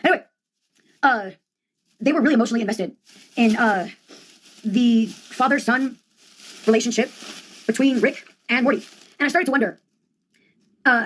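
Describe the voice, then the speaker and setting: Higher-voiced young woman, deadpan comic. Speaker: comedian. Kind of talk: rambling comedic monologue.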